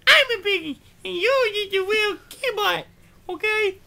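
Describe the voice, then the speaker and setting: A performer voicing a vain, pushy, crude male pig in a comedic puppet-show style, squeaky and blustering. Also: unhurried, vocal fry, pushed and bright, heavily acted. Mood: boastful, indignant.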